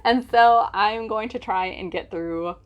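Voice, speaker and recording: A woman's voice: medium pitch, unhurried, highs cut off. Chatty young woman gushing about favorites. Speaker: book reviewer vlogger. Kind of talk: casual chatty book vlog.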